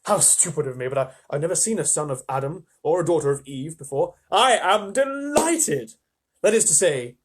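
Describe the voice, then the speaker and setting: A man voicing an excitable, courteous fawn with a flustered, effusive, old-fashioned politeness in a storybook reading. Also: a little high, normal speed, slightly rough. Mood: delighted, flustered.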